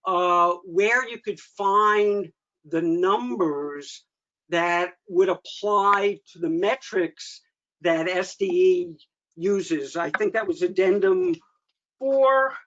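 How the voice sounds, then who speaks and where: High-pitched man on a dull call. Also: animated, slow, a bit bright, slight background noise. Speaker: meeting host. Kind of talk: informal meeting update. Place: online video meeting. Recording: dull lo-fi video call audio.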